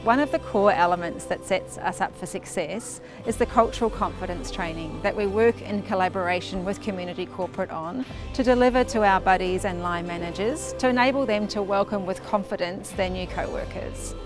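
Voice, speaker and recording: Woman, company spokesperson, polished, articulate, middle pitch, music behind. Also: brisk, few pauses. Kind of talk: corporate interview.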